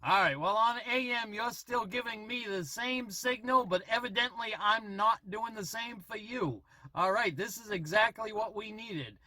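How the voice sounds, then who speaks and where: A man's voice: high-pitched, moderate pace, few pauses, pushed and bright. A man giving a casual radio-testing demonstration as a hobbyist, talking in check-ins. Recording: noisy CB radio transmission at times.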